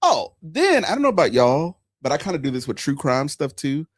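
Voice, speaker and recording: Animated man hosting a live chat. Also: fairly high pitch, a little fast, dry recording, studio quality. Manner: animated storytelling, emphatic asides. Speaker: podcast host.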